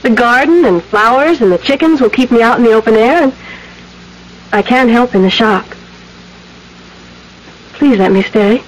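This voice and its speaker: A woman's voice: a little high, normal speed, long pauses, lightly acted. Actress as earnest young country girl. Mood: bright, pleading. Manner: earnest, polite. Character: cheerful, eager young country cousin girl. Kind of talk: 1970s radio drama acting. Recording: noisy old radio broadcast recording.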